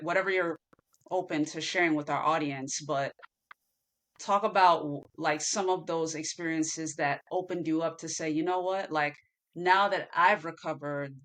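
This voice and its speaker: A woman's voice: low-pitched, fairly bright tone. A woman hosting a podcast, chatting casually and affirming her guest with loose, rambling questions. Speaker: podcast host. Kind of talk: casual interview conversation.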